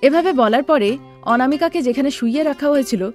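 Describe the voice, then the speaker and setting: A woman reading scripted narration for a storybook cartoon in a steady, plain way. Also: sits high, fairly quick, faint music behind.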